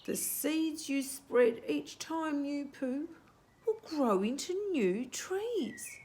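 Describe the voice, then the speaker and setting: A woman voicing a wise, solemn female owl in a children's storybook, speaking slowly and deliberately. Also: high voice, animated, mellow, slight background noise, pitched above the performer's own voice, heavily acted. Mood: wise, reassuring. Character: wise old-sounding female boobook owl, measured and solemn.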